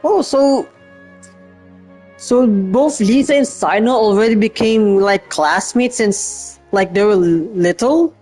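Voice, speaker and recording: A woman's voice: medium pitch, slow pace, a little mellow, slightly rough, soft background music. A woman doing casual gameplay commentary as a streamer, thinking aloud in a hesitant way. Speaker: game streamer.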